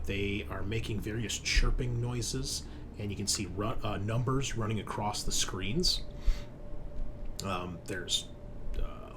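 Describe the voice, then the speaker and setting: A man's voice: on the low side, slight background noise. A man acting as game master, casually narrating a tabletop roleplaying game.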